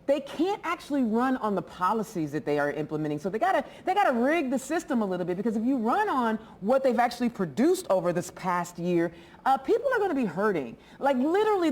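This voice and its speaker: A woman's voice: a little high, a little mellow. A woman debating politics as a TV panelist, emphatic and combative.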